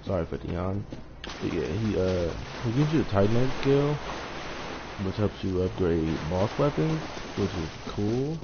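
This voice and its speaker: A man with a raspy, hoarse voice casually commenting on his own game stream, rambling and trailing off quietly at the ends of thoughts. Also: on the low side, animated, a little slow. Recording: lo-fi stream audio with loud noise.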